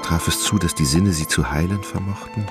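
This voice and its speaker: Very deep, gravelly male narrator. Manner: measured, dramatic storytelling. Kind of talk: scripted literary radio drama narration. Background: plucked guitar music.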